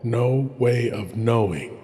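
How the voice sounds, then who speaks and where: A man's voice: mid-range voice, slow pace, warm tone, a little hiss or hum, close to an everyday voice. A man playing a sinister horror host, narrating a scripted anthology in an ominous, theatrical manner. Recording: long cavernous reverb with music.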